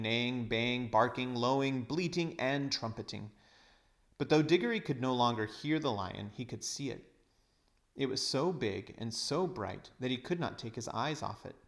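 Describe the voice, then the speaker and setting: A man reading a storybook aloud as narrator, with expressive, deliberate phrasing. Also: mid-range voice.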